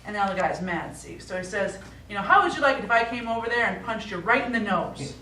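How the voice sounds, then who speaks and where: A woman's voice: mid-range voice, lively intonation, quick pace, pushed and bright. An actress on stage playing the exasperated but caring adult daughter of a forgetful father, speaking in an insistent, pointed way. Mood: joking. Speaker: stage actor. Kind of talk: live one-act stage play acting. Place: small theatre stage. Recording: echoey theatre, distant mic, faint noise.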